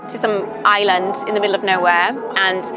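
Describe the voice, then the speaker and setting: A woman's voice: mid-range voice, little pitch movement, fairly quick, low-quality recording. A young woman from Britain, chatting thoughtfully as an interview guest with many ums and you-knows. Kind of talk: casual interview chat. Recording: music playing underneath.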